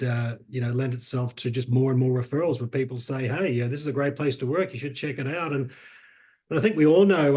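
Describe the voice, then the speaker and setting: A man's voice: medium pitch, a little mellow. A man hosting a webinar over a video call, talking loosely with many fillers and repeated words. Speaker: webinar host.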